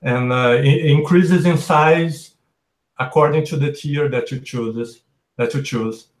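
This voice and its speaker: A man's voice: fairly high pitch, slow. A man from Brazil, a native Portuguese speaker, explaining things at length as a guest on a casual podcast chat. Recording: roomy echo.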